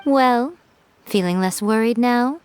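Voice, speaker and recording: A woman's voice: on the high side, slow, smooth. A woman voicing a composed, self-assured schemer in a video game, with a teasing, knowing manner. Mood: smug.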